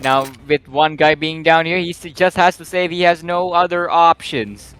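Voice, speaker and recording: Male game caster, high voice, slightly croaky, excitable rambling delivery. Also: normal speed, soft background music. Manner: excitable, rambling, filler-heavy play-by-play. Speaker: esports caster. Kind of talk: live play-by-play game commentary.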